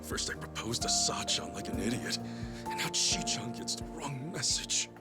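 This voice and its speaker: A man's voice: mid-range voice, animated, normal speed, loud music behind the voice, close to an everyday voice. A man playing an earnest, awkward, big-hearted ex-yakuza in a video game, emphatic and self-deprecating. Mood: sheepish, sincere. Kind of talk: dramatic video game voice acting.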